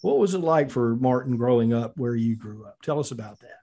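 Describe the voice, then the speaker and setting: A man's voice: mid-range voice, lively intonation, fairly quick, mellow. A man hosting an interview podcast, asking long, rambling questions full of fillers and restarts. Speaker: podcast host.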